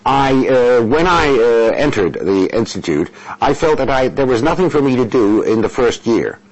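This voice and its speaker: Older male interviewee, Russian-born interpreter, middle-pitched, hesitant and measured, radio sound. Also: lively intonation, muffled recording. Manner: halting, many fillers and restarts, measured. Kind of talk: interview answers.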